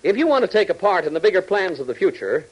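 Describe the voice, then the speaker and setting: Husky, animated 1940s radio announcer man. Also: high voice, quick pace, low-quality recording. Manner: dramatic, emphatic announcer delivery. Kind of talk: 1940s radio scripted narration.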